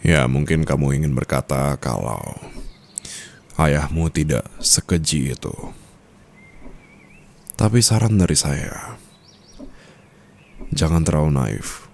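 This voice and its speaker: Man voicing a cool mafia boss husband, extremely low pitch, slow drawl, teasing and smug, intimate ASMR roleplay. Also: vocal fry, darkish tone, slight background noise, full character voice. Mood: cool, warning. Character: calculating, teasing young male mafia boss husband, coolly dominant.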